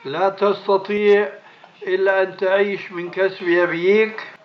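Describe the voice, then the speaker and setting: High-voiced man, blunt scholar on muffled tape. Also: level tone, moderate pace. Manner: admonishing, insistent, blunt. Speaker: Islamic scholar answering questions. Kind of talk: spoken religious question-and-answer. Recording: muffled, noisy old cassette tape recording.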